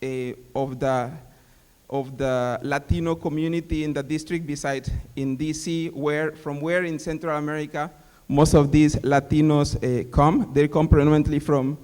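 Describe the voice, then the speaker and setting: A man's voice: fairly high pitch, steady tone, normal speed, a little mellow, smooth. A man from Honduras, giving a hesitant panel talk as a city official, with many fillers. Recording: reverberant hall.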